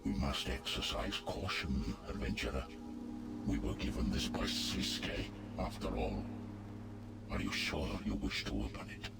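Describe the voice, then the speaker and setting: An actor playing an ancient, stern and wrathful god of the dead in a video game, with a very deep gravelly voice and a grave, imperious, formal manner. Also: male voice, sing-song, measured pace, loud music behind the voice, exaggerated voice. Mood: grave, solemn.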